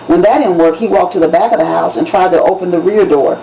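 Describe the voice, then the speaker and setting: A woman's voice: on the low side, fast talker, vocal fry. Woman reading fiction, muffled noisy recording. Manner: dramatic, emphatic storytelling with acted dialogue. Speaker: author reading from their own novel. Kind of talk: expressive audiobook-style narration. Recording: dull lo-fi recording with background noise.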